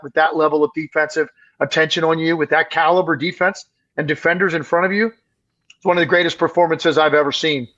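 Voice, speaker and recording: Raspy, strained male basketball analyst. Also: fairly high pitch, a bit bright, highs cut off. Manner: emphatic, analytical breakdown. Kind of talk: casual sports podcast analysis.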